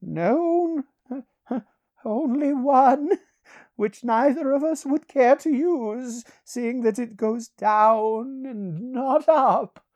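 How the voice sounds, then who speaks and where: A woman's voice: a little high, slow pace, dark tone, pitched above the performer's own voice. A woman voicing an ancient aristocratic male sorcerer with a wry, archaic, theatrical manner in a fantasy audiobook. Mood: scheming, wry.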